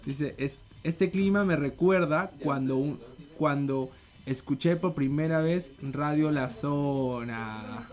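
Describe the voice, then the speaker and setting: A man's voice: a little high, slow, darkish tone. A man in his twenties with a Peruvian accent, a radio host chatting informally and often repeating himself. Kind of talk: casual on-air chat. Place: small radio studio. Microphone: broadcast studio microphone on arm. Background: music.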